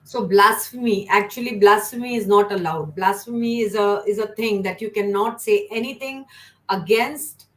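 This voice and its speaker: A woman's voice: medium pitch, normal speed. A woman from India with an Indian accent, asking questions in a casual interview and agreeing emphatically.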